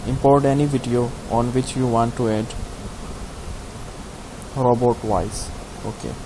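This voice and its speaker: A man giving a step-by-step software tutorial in simple, halting instructions. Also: mid-range voice, steady tone. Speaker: tutorial host. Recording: clear background hiss.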